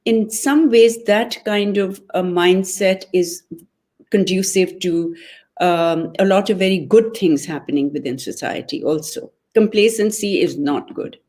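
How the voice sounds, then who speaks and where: Articulate woman from Pakistan, measured. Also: mid-range voice, a little mellow. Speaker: guest, political club member. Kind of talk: conversational interview.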